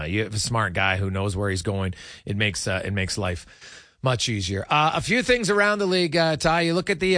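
A man hosting a casual sports talk show, speaking in a halting, repetitive way. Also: on the high side, sharp tone, dry recording, studio quality.

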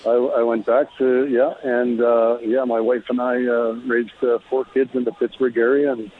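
Male, middle-aged, Canadian, husky tone, relaxed interview storytelling, dull lo-fi audio. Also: mid-range voice, moderate pace. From Alberta, Canada. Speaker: former pro hockey player, interview guest. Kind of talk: casual reminiscing interview. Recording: dull phone-like lo-fi sound.

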